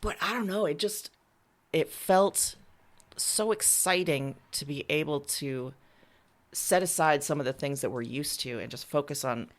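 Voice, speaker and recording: Low-pitched woman, candid and informal. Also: unhurried. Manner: candid, informal, occasional swearing. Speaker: interviewed writer and audio drama creator. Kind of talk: casual interview answers.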